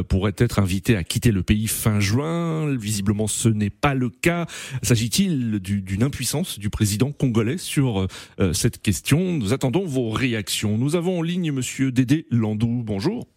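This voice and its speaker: A man hosting a radio call-in show, asking measured questions with pauses. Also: mid-range voice, darkish tone, no room sound, clean studio sound. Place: radio studio.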